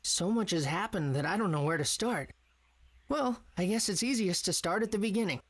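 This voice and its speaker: A woman's voice: medium pitch, animated, brisk, breathy edge, dry recording. A woman voicing an earnest, cheerful young boy apprentice in a scripted video game. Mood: wistful, narrating.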